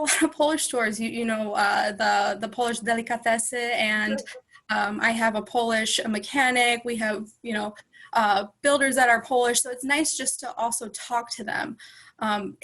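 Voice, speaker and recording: A woman's voice: fairly high pitch, gravelly, a bit bright. A young woman in her twenties chatting casually as a guest speaker, with lots of ums and restarts. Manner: chatty, many ums and self-corrections. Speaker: guest speaker, former student. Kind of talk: casual panel conversation.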